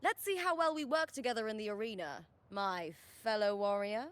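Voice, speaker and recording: A woman's voice: medium pitch, moderate pace, dry recording, close to an everyday voice. A woman voicing a confident, spirited young gladiator and friendly battle partner in a video game. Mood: confident, explaining.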